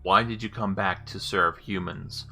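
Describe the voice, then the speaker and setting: A man's voice: sits low, steady tone, a little slow, bright, forceful tone. A man demonstrating a game voice assistant, giving spoken commands and questions with casual commentary.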